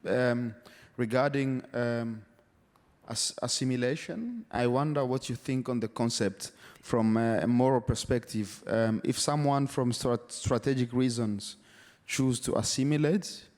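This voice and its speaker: A man's voice: medium pitch, darkish tone. A man in the audience politely putting a question to a panel, hesitating with ums. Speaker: audience member. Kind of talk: question from the floor. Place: large hall. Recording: long hall reverb.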